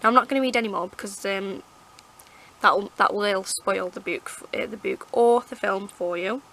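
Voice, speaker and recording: Girl w a croaky throat rambling about books, bright voice, lots of ums, camera mic. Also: medium pitch, moderate pace. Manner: rambling, chatty, lots of fillers and restarts. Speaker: book vlogger. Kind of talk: casual book review chat.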